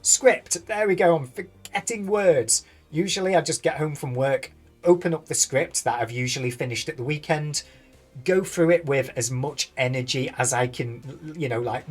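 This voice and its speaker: A British man reading game patch notes aloud and rambling on them, tired, his voice going hoarse. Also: fairly high pitch, moderate pace, soft background music. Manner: rambling, thinks aloud, loses his words. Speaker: gaming YouTuber.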